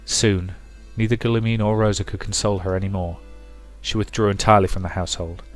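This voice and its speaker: A man's voice: on the low side, little pitch movement, a little fast. A man hosting a let's play, reading the game's story aloud and commenting on it casually. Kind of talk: casual game commentary and dramatic read-aloud narration. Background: music.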